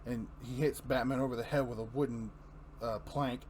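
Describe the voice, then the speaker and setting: A man's voice: mid-range voice, steady tone, normal speed, a little mellow, a little hiss or hum. A man hosting a show, narrating and chatting casually about what he reads. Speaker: host and reader. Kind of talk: casual narration and review chat.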